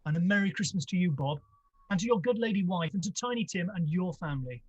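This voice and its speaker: Good-natured gentleman nephew played by a woman, breathy-rough voice, heartfelt radio-play delivery, dull dry video-call sound. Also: on the low side, animated, fast, no echo. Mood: cheerful. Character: warm-hearted, cheerful young nephew, a gentleman. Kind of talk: vintage radio drama acting.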